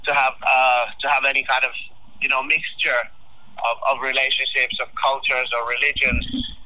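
Bright-voiced man on a phone line. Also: medium pitch, little pitch movement, no echo. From Finland. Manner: halting, repeats words often. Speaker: guest commentator. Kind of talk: radio interview.

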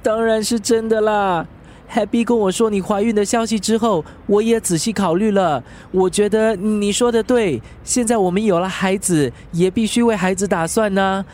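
A man's voice: sits high, fairly even intonation, normal speed, a little mellow, a little hiss or hum, natural voice. A man acting in a radio drama as a devoted, effusive young husband. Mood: overjoyed, doting. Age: young adult. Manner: effusive, melodramatic.

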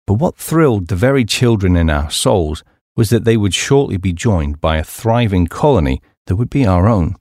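A man with a fairly low voice narrates a humorous memoir for an audiobook. His delivery is measured and articulate, with lively movement in pitch. The recording is dry and close, free of room sound. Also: dry recording.

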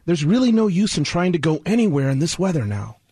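High-voiced, courteous station attendant. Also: male voice, very animated, a little fast, warm tone, husky, no echo, studio quality, full character voice. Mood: pleading. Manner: formal, polite service address. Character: courteous, fussy male train station attendant, concerned and persistent. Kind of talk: old-time radio drama acting.

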